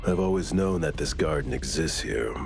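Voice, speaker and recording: An actor playing a stern, cautious investigator in a game cutscene, with a measured, matter-of-fact delivery. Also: male voice, extremely low pitch, animated, gravelly, darkish tone, full character voice. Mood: wary. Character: stern, cautious, very deep-voiced male veteran investigator. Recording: music behind the voice.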